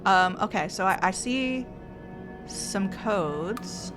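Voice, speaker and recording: Chatty woman streamer, playful, music clearly under her voice. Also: medium pitch, lively intonation, slow. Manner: chatty, playful, talks to herself. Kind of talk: playing a game live. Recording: game music loud under voice.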